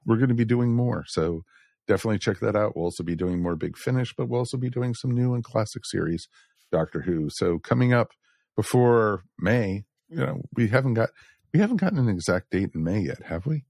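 A man's voice: on the low side, expressive, brisk, vocal fry, a little mellow, dry recording, clean studio sound. A man hosting a podcast, chatting casually and addressing listeners in a folksy way. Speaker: podcast host.

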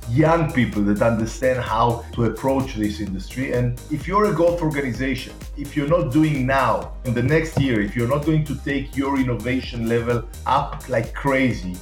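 A man in his fifties with an Israeli accent, a podcast guest speaking earnestly in short phrases with pauses and fillers. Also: mid-range voice. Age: 50s. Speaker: guest, startup founder. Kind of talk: conversational interview.

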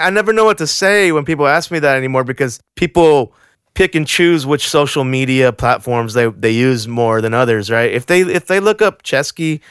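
Smooth-voiced laid-back man. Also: mid-range voice, fairly bright tone, no room sound, studio quality. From San Francisco Bay Area. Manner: laid-back, trails off and restarts, laughs easily. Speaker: guest, rapper. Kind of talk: casual interview chat.

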